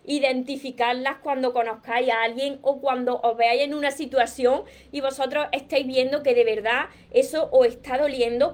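A woman with a Spain Spanish accent and a notably high voice leads a live self-help stream. She explains things in a teacherly, earnest way, often repeating herself for emphasis and turning to her viewers' comments. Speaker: personal growth coach.

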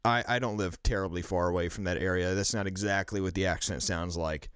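A man with a very deep voice and a mild Midwestern accent, from South Carolina. He chats as a podcast guest, pausing on ums and restarting his sentences. The sound is dry and close. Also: fairly even intonation, fairly bright tone, clean tone, dry recording. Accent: mild Midwestern American. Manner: hesitant, many ums and restarts. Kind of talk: casual chat.

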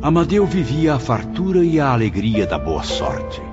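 A man's voice: mid-range voice, huge pitch swings, normal speed. A man delivering grave, scripted narration for a radio drama. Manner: solemn, grave. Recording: muffled radio production with music behind.

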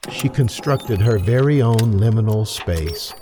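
An actor playing a mysterious narrator in an eerie audio drama, delivering cryptic lines with deliberate, dramatic phrasing. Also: medium pitch, expressive, over music, natural voice. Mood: ominous, cryptic. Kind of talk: slow dramatic scripted narration.

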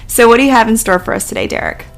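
A woman hosting a podcast who asks quick questions and teases her guest in a friendly way. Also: fairly high pitch. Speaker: podcast host. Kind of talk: casual podcast interview.

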